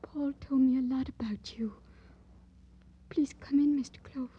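An actress playing a guarded, plaintive eighteen-year-old working-class girl in a 1950s radio crime drama, speaking in simple, halting phrases. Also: sits high, fairly even intonation, husky, lo-fi sound. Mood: subdued, grieving.

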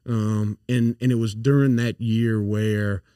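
A man's voice: on the low side, flat delivery, slow pace, mellow, dry recording. A man in his forties with a south Louisiana accent, a company founder talking casually as an interview guest, earnest and full of fillers and restarts. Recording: dry, a bit far from the mic.

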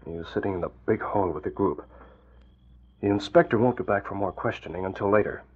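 An actor playing a decisive, cool crime-fighter in a 1940s radio drama, brisk and authoritative. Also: male voice, fairly low pitch, slightly rough. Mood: cautious. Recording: muffled old radio recording.

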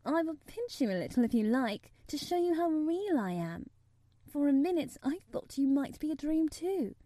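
A forthright, curious young girl in a storybook, acted out by a woman. Her voice is high and swings up in emphatic, wondering questions, with a touch of fright, in a close, dry sound. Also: sing-song, normal speed, dark tone, dry recording. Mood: reassuring. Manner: gasping, faltering, emphatic questions.

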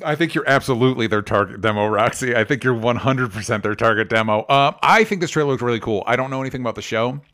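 A man's voice: mid-range voice, quick pace, hardly any pauses, fairly bright tone. A man co-hosting a casual podcast, rambling, with frequent restarts and fillers. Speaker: podcast co-host.

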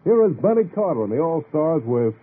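A man's voice: on the high side, very animated, a little fast. An American man hosting a 1940s radio jazz show as master of ceremonies, making showmanlike introductions. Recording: muffled vintage radio broadcast, faint noise.

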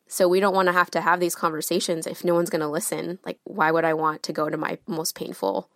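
A woman's voice: sits low, fairly bright tone, dry recording, clean studio sound. A woman in her thirties answering interview questions openly and thoughtfully. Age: 30s. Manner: open, thoughtful, candid. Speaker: interview guest.

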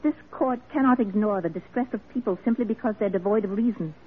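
A woman's voice: mid-range voice, fast talker, slightly rough, natural voice. An actress playing an earnest, unyielding woman reformer in a classic radio drama, speaking formally and insistently. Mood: defiant, urgent. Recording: muffled old radio drama recording.

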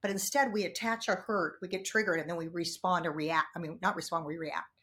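A woman's voice: on the low side, fairly quick. A middle-aged woman, a podcast guest, chatting casually and animatedly, acting out her own thoughts. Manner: animated, acts out her own inner dialogue. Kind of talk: casual chat.

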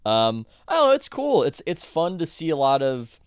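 A man's voice: fairly high pitch, wildly swooping pitch, moderate pace, clean tone, no echo, studio quality. A man, an author guest on a podcast, talking casually in a rambling way with many restarts and self-corrections.

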